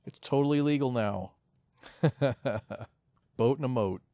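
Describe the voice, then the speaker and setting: A man's voice: mid-range voice, unhurried, warm tone, dry recording, clean studio sound. A man co-hosting a podcast in casual chat, rambling along with jokes and easy swearing. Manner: rambling, profane, joking. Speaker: podcast co-host.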